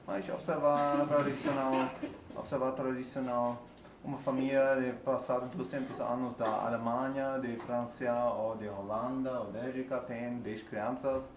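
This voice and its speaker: A man, a language student explaining things in halting second-language speech. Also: medium pitch. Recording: echoey room with faint noise.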